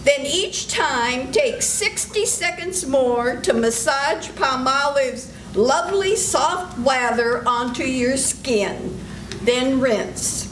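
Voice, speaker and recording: A woman's voice: high-pitched, takes it slowly, fairly bright tone, reverberant, a little hiss or hum, rough recording. A woman announcing in a polished, old-time radio style in a live stage show. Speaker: announcer and emcee. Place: senior center stage.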